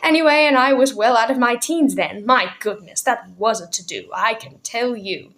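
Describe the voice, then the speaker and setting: A woman voicing a tiny, ancient, shrill old shopkeeper who turns from soft menace to sweetness in a storybook reading. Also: normal speed. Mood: chatty. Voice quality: shrill, shrieking.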